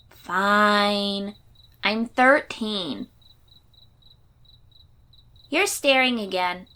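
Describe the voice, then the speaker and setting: A woman's voice: mid-range voice, extremely slow, pauses often, pushed and bright, smooth. A woman voicing a confident, chatty thirteen-year-old sea-dweller girl warrior in a fantasy audio roleplay, perky and informal. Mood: grudging.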